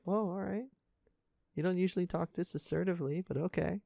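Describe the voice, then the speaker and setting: A man playing an earnest, caring high school senior in an intimate audio roleplay, speaking casually and haltingly to a best friend. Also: vocal fry, warm tone, no echo, studio quality. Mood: serious, bewildered. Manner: casual, halting, stammering, conversational.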